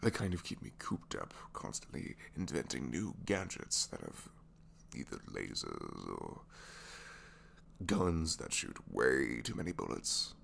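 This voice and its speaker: A man's voice: extremely low pitch, very animated, a little slow, creaky, a bit bright, a little hiss or hum, full character voice. A man playing a reluctant, secretly kind supervillain inventor in an ASMR roleplay, hesitant, wry and self-deprecating, rambling and sometimes dropping toward a whisper. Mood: resigned. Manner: hesitant, wry, rambling, trailing tag questions, sighs, drops to whispers. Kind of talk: ASMR roleplay audio drama, one-sided conversation.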